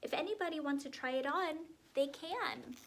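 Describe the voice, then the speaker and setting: A woman's voice: sits high, moderate pace, a bit bright, pitched above the performer's own voice. A woman voicing a friendly, proud young boy who speaks in an eager, earnest way.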